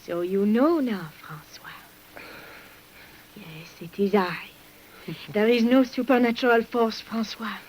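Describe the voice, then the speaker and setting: A woman's voice: on the high side, slow pace, pauses often, darkish tone. A woman playing an intense, secretive French nurse and poisoner in a melodramatic mid-century radio drama. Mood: coldly menacing. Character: French nurse and secret poisoner, outwardly frightened, coldly menacing. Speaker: radio actress. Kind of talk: 1950s radio drama acting. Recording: noisy old radio recording.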